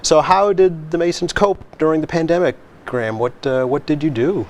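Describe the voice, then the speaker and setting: A man's voice: fairly high pitch, brisk, slight background noise. A man hosting an interview, asking questions and giving brief, friendly affirmations. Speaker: host. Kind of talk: casual interview.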